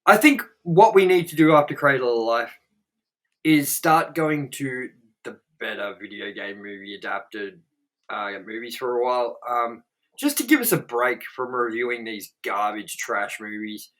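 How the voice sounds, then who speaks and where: A man's voice: medium pitch, expressive, normal speed, a bit bright, low-quality recording. A man co-hosting a podcast, talking in a rambling, casual way, full of fillers and swearing. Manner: rambling, filler-heavy, frequent "like" and "you know what I mean". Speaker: podcast co-host. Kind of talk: casual chat, swearing freely.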